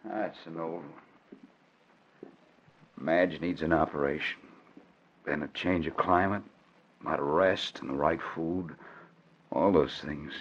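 A man's voice: deep voice, slightly rough. An actor playing a bitter, despairing man ruined by gambling, in 1940s radio drama style. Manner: defeated, embittered, emphatic. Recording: dull old radio recording.

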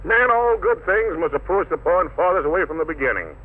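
A man's voice: fairly high pitch, lively intonation, brisk, heavy background noise. An American man hosting a 1940s radio show with playful, hip jive patter. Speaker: radio show host and emcee. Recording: tinny, narrow-band vintage radio recording.

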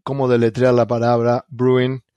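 A man's voice: medium pitch, completely flat delivery, normal speed, mellow, clean tone, no room sound. A man from Argentina with an Argentine Spanish accent, co-hosting a casual podcast chat with many fillers and restarts. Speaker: podcast co-host.

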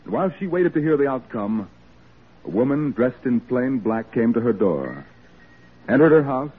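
An actor playing the solemn storyteller of a historical radio drama, giving scripted narration. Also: male voice, mid-range voice, big pitch swings, moderate pace, slightly rough. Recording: muffled old radio, music underneath.

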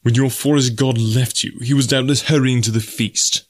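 A male reader plays a young English gentleman in an adventure tale, worn out and quick to feel guilt. He speaks rather low, with formal courtesy and earnestness. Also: dry recording. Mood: bitter.

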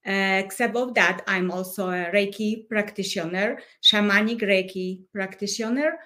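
A woman's voice: medium pitch, moderate pace, pushed and bright. A woman from Poland with a Polish accent, a life coach and podcast guest who speaks in a thoughtful way and often searches for words. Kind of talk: podcast interview.

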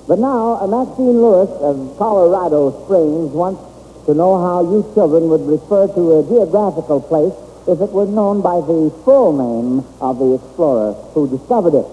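An American man hosting an old-time radio children's quiz in a folksy, halting, encouraging way. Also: sits high, animated. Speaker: quizmaster of a children's quiz show. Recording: old radio, muffled, hissy, reverberant hall.

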